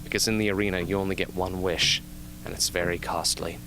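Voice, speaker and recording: A man playing a young, haunted but earnest arena survivor with intense, deliberate delivery in an amateur radio drama. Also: deep voice, little pitch movement, over music, low-quality recording. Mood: haunted, reflective. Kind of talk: amateur radio drama acting, interview scene.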